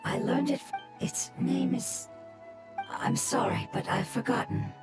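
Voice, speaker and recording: A woman voicing a small, gentle plant spirit who speaks softly and formally, in video game dialogue over game music. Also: high-pitched, lively intonation, a little slow. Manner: soft, formal, wistful.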